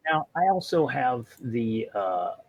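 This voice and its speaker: A man's voice: medium pitch, big pitch swings, takes it slowly, husky, dull audio. A man playing a tabletop role-playing game, chatting casually and tentatively, circling back over his words.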